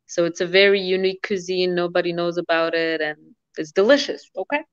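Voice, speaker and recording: A woman's voice: fairly low pitch, fairly quick, smooth, no echo. A young woman from Sudan chatting as a guest on a video call, laughing easily. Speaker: guest business owner. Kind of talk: casual video call interview. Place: home room, indoors. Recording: dull lo-fi video call audio.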